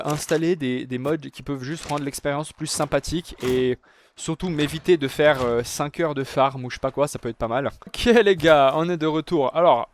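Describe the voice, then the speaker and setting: A man's voice: fairly high pitch, a little hiss or hum. A young man casually commenting on his game, chatty and addressing his viewers often. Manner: chatty, talks to viewers as "les gars", many fillers. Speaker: gaming youtuber. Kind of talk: casual let's-play commentary.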